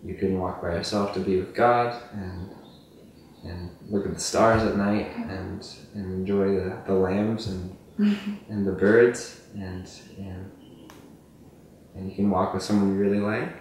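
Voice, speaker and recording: A very low-voiced man gives casual, halting interview answers. He sounds far from the microphone in a strongly echoing space. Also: steady tone, a little mellow. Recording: very echoey, distant mic, faint noise.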